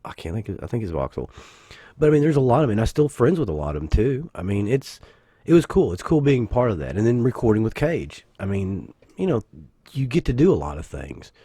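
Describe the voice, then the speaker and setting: A man, husky from the flu, rambling casually as a gaming channel host with self-corrections and joking asides. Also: on the low side, big pitch swings, fairly quick, warm tone, no echo, clean studio sound. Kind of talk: casual anniversary vlog talk.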